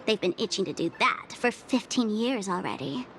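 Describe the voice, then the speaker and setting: A woman's voice: medium pitch, expressive, normal speed, a little hiss or hum, lightly acted. A woman playing a playful, mischievous young game character who scorns boredom, speaking in a theatrical, teasing way. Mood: mocking. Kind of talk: animated video game character dialogue.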